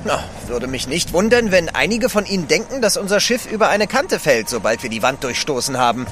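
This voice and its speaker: An actor playing a nervous, deferential manservant in an adventure radio play, with jittery politeness. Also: male voice, a little high, big pitch swings, a little fast, a bit bright, a little hiss or hum. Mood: awed, anxious.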